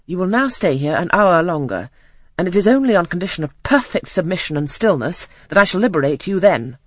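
A cold, commanding aunt, voiced by a reader, gives peremptory, clipped orders. The reading is dry and close, with lively pitch. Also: brisk, no room sound. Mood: severe. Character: stern, cold aunt, a commanding older woman. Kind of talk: audiobook character reading.

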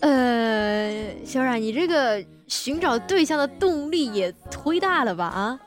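A woman hosting a bantering radio chat show, playfully teasing and quick to laugh. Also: high voice, animated. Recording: music bed under the talk.

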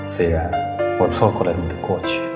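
男演员演绎 低沉带混响的痴情男学生. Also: male voice, low-pitched, level tone, brisk, natural voice. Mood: tender longing. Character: lovesick young male student, shy and tender. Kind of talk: scripted monologue, modern Chinese radio drama. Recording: echoey long reverb, loud music behind. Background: sad new-age music.

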